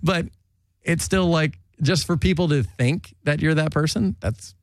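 A man's voice: a little high, unhurried, no echo. A man hosting a casual chat show, rambling and restarting his sentences and laughing often. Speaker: podcast host.

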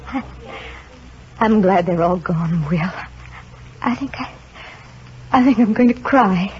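An actress playing a pastor's emotional, devoted wife in 1940s radio drama style. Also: female voice, mid-range voice, expressive, slow pace, a little mellow. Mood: tearful. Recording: noisy, dull vintage radio recording.

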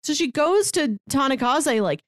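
Casual female co-host for recaps, expressive and animated storyteller, very little room sound. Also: high voice, moderate pace, no room sound, studio quality. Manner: animated storytelling that acts out quotes. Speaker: podcast co-host. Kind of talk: casual chat recapping a manga plot.

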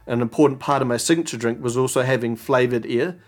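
A man's voice: medium pitch, fast. Reflective New Zealand man. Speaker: former barista champion. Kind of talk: recorded interview clip.

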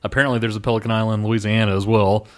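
A man's voice: fairly low pitch, flat delivery, very fast. A man co-hosting a podcast, chatting casually and adding his own comments. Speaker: podcast co-host. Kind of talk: casual chat.

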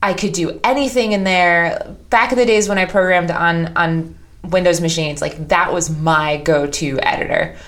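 A woman's voice: lowish voice, fairly even intonation, moderate pace, few pauses, fairly bright tone. A woman co-hosting a podcast in casual chat, talking with emphatic enthusiasm. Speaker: podcast co-host.

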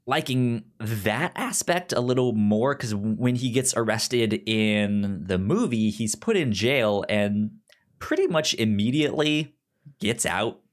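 A podcast co-host with a mid-pitched voice of ambiguous gender talks in a casual, conversational way. The delivery is halting, with frequent stammers and repeated syllables while working through a point. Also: expressive. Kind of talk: casual comic and film discussion.

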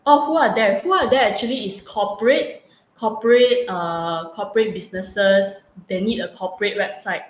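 A young woman with a Malaysian accent, answering interview questions casually in halting, repetitive phrasing. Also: medium pitch. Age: young adult. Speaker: student entrepreneur being interviewed. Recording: echoey room with clear background noise.

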